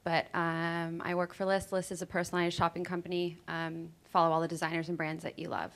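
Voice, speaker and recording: Female panelist, lowish and gravelly, relaxed. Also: brisk. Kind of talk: panel discussion.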